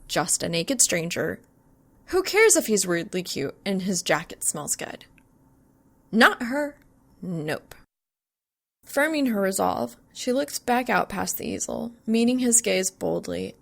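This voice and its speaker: A woman reading a scripted story aloud as narrator, with an expressive, dramatic delivery. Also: medium pitch, vocal fry. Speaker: fan fiction narrator.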